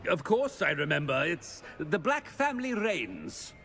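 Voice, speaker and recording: A high-pitched, theatrical headmaster, leaping up and down in tone. He is pompous and blustering, hemming his way through a fantasy game scene. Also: big pitch swings, a little slow, creaky, a bit bright, faint music behind, heavily acted. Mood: blustering, commanding. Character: pompous, blustering, evasive male school headmaster. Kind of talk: video game character acting.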